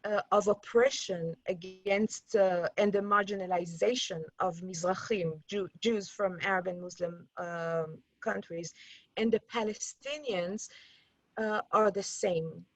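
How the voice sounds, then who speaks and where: A woman's voice: medium pitch, steady tone, a little slow, dry recording, poor audio. A middle-aged woman from Iran, a journalist and activist, speaking in a reflective interview with many restarts and fillers.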